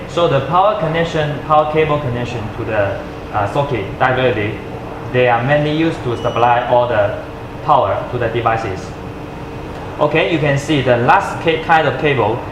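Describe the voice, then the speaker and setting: A man's voice: mid-range voice, moderate pace. Guy with non-native english doing a product demo, stumbles for words, echoey room, noisy background. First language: not English. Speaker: product presenter. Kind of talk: unscripted product demonstration.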